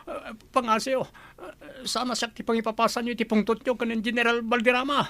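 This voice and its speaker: A man's voice: very high-pitched, steady tone, brisk, bright, forceful tone, exaggerated voice. A man playing a nervous, cringing henchman in an audio drama, stammering and pleading. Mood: cowering. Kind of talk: Ilocano audio drama acting.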